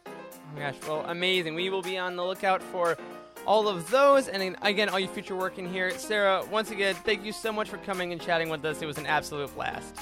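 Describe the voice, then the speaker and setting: A man's voice: high-pitched, a little fast, over music. A man hosting a casual interview, enthusiastic and emphatic, repeating words as he gushes. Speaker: podcast host.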